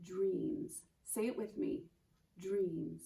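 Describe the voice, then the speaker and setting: A woman's voice: lowish voice, extremely slow, gravelly, warm tone. A woman teaching children vocabulary in an encouraging way, repeating words for them to say back, recorded roomy and distant with music. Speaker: teaching artist, educator.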